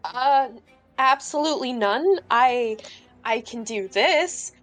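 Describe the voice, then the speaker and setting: A woman's voice: sits high, animated, slow pace, soft background music. A woman playing a tabletop roleplaying game, speaking casually as she improvises her character's turn. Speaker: player in a tabletop roleplaying podcast.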